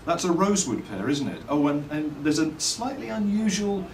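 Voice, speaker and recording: A middle-aged man with an English accent, giving reflective, articulate interview answers in measured phrases and telling anecdotes as a company owner and designer. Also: fairly high pitch, sing-song. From England. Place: indoors at a trade show. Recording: roomy, distant mic, faint background noise.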